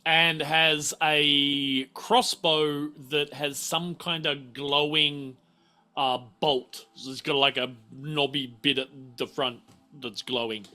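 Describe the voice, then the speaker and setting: A man refereeing a casual tabletop game, halting and often repeating himself. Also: fairly high pitch, flat delivery, pushed and bright, faint music behind. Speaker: game master.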